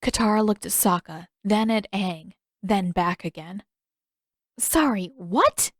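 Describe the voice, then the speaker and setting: A woman reading scripted fiction as an audiobook narrator, with expressive storytelling. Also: mid-range voice, unhurried, dry recording, clean studio sound.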